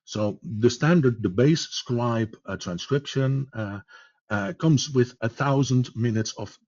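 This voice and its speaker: A man with a Dutch accent presenting a product in a webinar, speaking haltingly with many fillers and repeated words. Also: medium pitch, darkish tone, no echo, muffled recording. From Netherlands.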